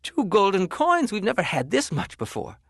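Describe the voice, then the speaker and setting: A man acts out a humble storybook shoemaker, earnest and grateful. He stammers in a fluster, his pitch swinging widely, in a dry, close sound. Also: wildly swooping pitch, moderate pace, fairly bright tone, breathy edge, dry recording, exaggerated voice. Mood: delighted.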